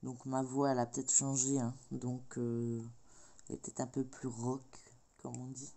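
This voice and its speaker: A woman's voice: rumbling low, little pitch movement, moderate pace, warm tone, slight background noise. A middle-aged woman with a cold, chatting casually and hesitantly while crafting, full of filler sounds. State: has a cold. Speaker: craft vlogger.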